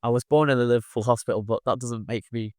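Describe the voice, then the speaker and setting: A man's voice: mid-range voice, steady tone, fast, no room sound, clean studio sound. A young university student from northwest England, chatting casually and filling his talk with "like" and "you know what I mean.". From Wirral, England. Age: young adult. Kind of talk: casual conversation.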